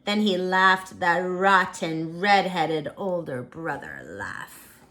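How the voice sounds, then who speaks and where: Female storyteller, lower-pitched, expressive read-aloud of a picture book for children. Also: slow pace, slight background noise. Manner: expressive, dramatic.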